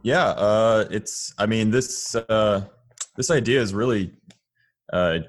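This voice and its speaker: A man from Florida chatting casually and haltingly as a podcast guest. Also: lowish voice. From Tampa, Florida. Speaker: podcast guest, audio drama creator. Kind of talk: casual interview chat.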